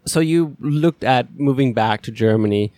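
A man interviewing a guest, asking measured, thoughtful questions in a conversational way. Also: medium pitch, darkish tone, no echo.

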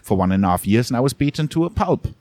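A middle-aged man from Germany, a journalist being interviewed, talking in a halting way with many restarts and repeated words. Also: medium pitch, big pitch swings, darkish tone. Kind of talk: candid conversational interview.

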